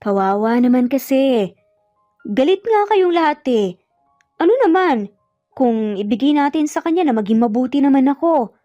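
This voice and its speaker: A woman's voice: high voice, animated, normal speed, long pauses, warm tone, no echo. A woman voicing a kind, forgiving older mother who soothes and coaxes, in an audio drama. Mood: sympathetic. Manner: soothing, coaxing. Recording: muffled lo-fi audio, music bed behind.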